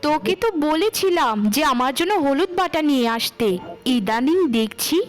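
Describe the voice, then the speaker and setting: A very high-pitched, conceited young woman from a children's moral cartoon, acted out by a woman. She is spoiled and bossy, admires herself and talks down to others in a haughty, scolding way. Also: expressive, normal speed, faint music behind. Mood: scornful, scolding.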